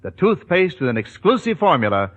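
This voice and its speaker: A man's voice: on the high side, sing-song, fairly quick. A man reading a 1940s radio advertisement as an announcer, with an emphatic, reassuring sales delivery. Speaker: commercial announcer. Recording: tinny band-limited old radio recording.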